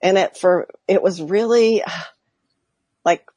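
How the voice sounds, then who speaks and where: A woman's voice: mid-range voice, slow pace, mellow, dry recording. A woman guest, a life coach, telling her story in a reflective, laughing way with many fillers. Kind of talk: casual interview chat.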